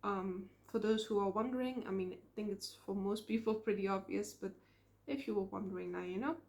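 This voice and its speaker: A young woman with a Dutch accent, talking to camera in a casual vlog, earnest and reflective, often pausing on fillers. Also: mid-range voice, vocal fry, a little mellow. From Netherlands. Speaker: small YouTube creator.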